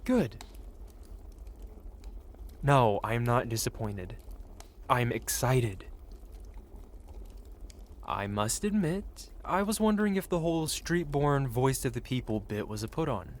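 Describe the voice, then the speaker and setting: A suave, wealthy dragon patron played by a man in a romantic fantasy audio roleplay. He speaks in courtly, flowery phrases at a medium male pitch, addressing one listener intimately, with a possessive streak beneath the polish. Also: sing-song, slow, long pauses. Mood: admiring, intent.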